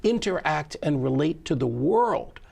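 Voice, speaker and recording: A man's voice: on the high side, expressive, unhurried, creaky, a little mellow, slightly rough. A man hosting a casual studio chat, explaining at length with frequent stammered restarts and repeated words. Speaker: show host and producer.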